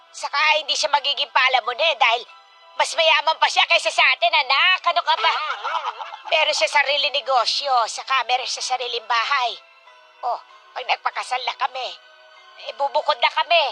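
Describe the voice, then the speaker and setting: A woman's voice: high voice, moderate pace, bright, forceful tone, faint music behind. A woman playing a loving older mother who pleads to remarry, in a Tagalog radio drama. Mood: defensive, reassuring.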